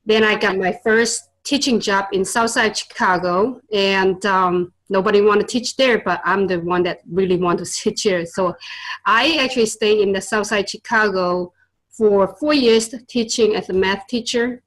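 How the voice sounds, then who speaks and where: A woman's voice: mid-range voice, moderate pace, a bit bright, rough recording. Earnest woman. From born in Vietnam, raised in China. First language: Chinese and Vietnamese. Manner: earnest, with many fillers and a non-native grammar. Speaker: guest, tutor and business owner. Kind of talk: casual interview storytelling.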